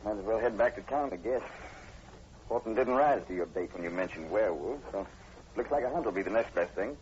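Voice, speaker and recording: A man's voice: on the low side, lively intonation, a little fast, a little mellow, lightly acted. A man playing a wary, determined police sergeant, plainspoken and matter-of-fact, in a vintage radio drama. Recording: muffled, hissy vintage radio recording.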